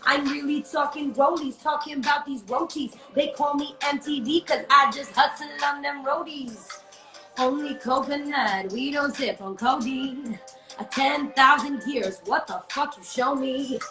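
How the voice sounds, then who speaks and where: A woman's voice: high-pitched, measured pace, bright, forceful tone, reverberant, faint music behind. A woman recording artist singing and rapping in a home studio, switching into Hindi lines and vocal percussion, then chatting casually.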